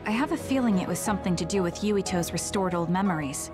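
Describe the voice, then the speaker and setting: A woman's voice: fairly low pitch, animated, quick pace, close to an everyday voice. A young woman playing a composed, serious squad leader in an anime game. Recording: music behind voice.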